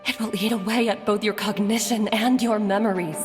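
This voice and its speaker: A woman playing a solemn, gentle figure remembered in a video game drama, her voice in long reverb. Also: mid-range voice, steady tone, a little fast, music in the background, lightly acted. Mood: grave warning.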